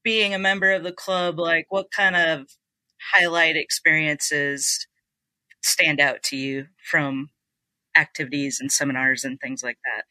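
A woman hosts a podcast interview, her voice very bright and recorded close and dry. She answers guests with quick, encouraging affirmations. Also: low-pitched, steady tone, no room sound, low-quality recording. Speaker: podcast host. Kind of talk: interview hosting.

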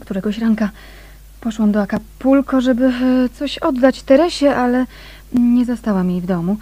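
An actress in a radio drama playing a nervous, gossipy woman with a hesitant, breathy, confiding manner. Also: on the high side, moderate pace, warm tone, dry recording, lightly acted.

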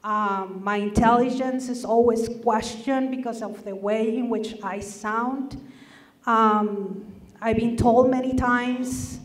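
A woman in her forties from Mexico with a Mexican Spanish accent, telling a personal story earnestly and deliberately as a panelist on a stage. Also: fairly high pitch, fairly even intonation. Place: large auditorium stage. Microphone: table microphone on stand. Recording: roomy hall, mic somewhat distant.

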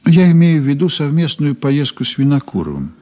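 An actor playing a courteous, sly investigator in a Soviet radio play, polite and insinuating with a deliberately casual air. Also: male voice, on the high side, sing-song, dark tone. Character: courteous, sly male investigator probing a witness. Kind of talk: Soviet 1980s radio play, dialogue and first-person narration.

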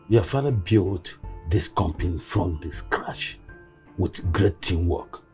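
An older man playing a stern, fatherly chief who admonishes in a measured way, in a film drama. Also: low voice, warm tone, heavily acted. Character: stern, paternal older family elder, a respected chief.

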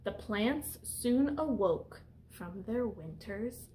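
A woman's voice: a little high, expressive, slow, dark tone, slight background noise, poor audio. A woman in her thirties reading a picture book aloud as a teacher, explaining simply and putting questions to young children. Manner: instructive, simple phrasing aimed at young children. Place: small room indoors.

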